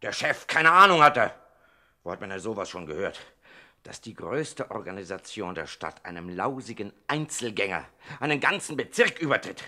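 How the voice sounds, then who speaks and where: An aggrieved small-time crook with a forceful, bright voice. He blusters and grumbles with wounded pride in old German radio comedy style, hammering his points by repetition. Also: on the low side, very animated, moderate pace, full character voice. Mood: furious. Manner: blustering, emphatic, repeats himself. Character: indignant, grumbling small-time pickpocket gangster, proud, quarrelsome.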